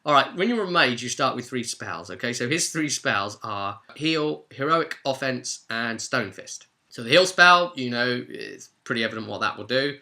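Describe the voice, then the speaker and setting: A man giving an animated, chatty, informal recap of a role-play session as game master. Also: mid-range voice, moderate pace, gravelly, few pauses. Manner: chatty, acts out players' exchanges.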